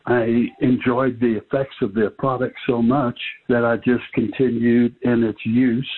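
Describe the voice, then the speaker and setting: A man's voice: mid-range voice, breathy edge, phone-quality sound. A man in his seventies giving a personal customer testimonial over a thin line. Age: 70s.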